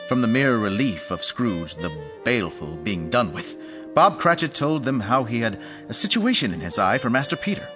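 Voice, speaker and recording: A man's voice: medium pitch, sharp tone. A man narrating a Victorian story in a scripted audio play. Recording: dull lo-fi audio with music behind.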